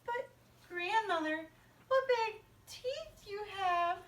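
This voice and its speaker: A woman's voice: very high voice, lively intonation, slow pace, a bit bright, pitched above the performer's own voice, full character voice. A woman voicing a sweet, naive little girl in a fairy-tale puppet show. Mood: puzzled. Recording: echoey room, distant from mic.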